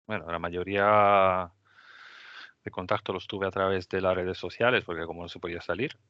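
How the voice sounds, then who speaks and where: A man's voice: fairly low pitch, fairly bright tone, no echo, dull audio. A man with an Italian accent, native Italian speaker, hosting a podcast and telling his story earnestly with frequent restarts. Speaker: podcast host. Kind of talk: casual podcast conversation.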